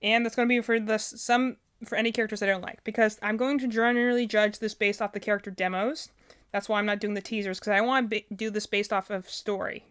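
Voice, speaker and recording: A woman's voice: medium pitch, steady tone, fast, a bit bright, clear, resonant tone. A woman hosting a livestream in a casual, rambling way, thinking aloud and often correcting herself as she goes. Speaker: livestream host.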